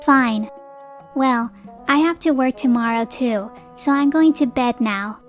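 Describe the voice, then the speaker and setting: A high, youthful wife's voice acted by a woman tells her story in a manga dub with faint music behind it. She is composed but exasperated, blunt and determined to stand on her own. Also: unhurried, close to an everyday voice. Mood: curt, resigned. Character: fed-up young working wife and mother, determined, blunt. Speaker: narrator and lead character. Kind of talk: manga dub, narration and dialogue.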